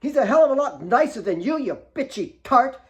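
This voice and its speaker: A fourteen-year-old girl in an audiobook story, played by a male narrator. Her voice is raised well up in pitch. She is defiant and sharp-tongued, spitting out heated complaints. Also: big pitch swings, brisk, highs cut off. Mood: angry, indignant.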